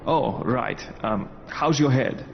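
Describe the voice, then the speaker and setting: A man's voice: medium pitch, slow, gravelly. A man acting an officious shelter staffer in a video game, businesslike and dismissive. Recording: muffled, long hall reverb, faint noise.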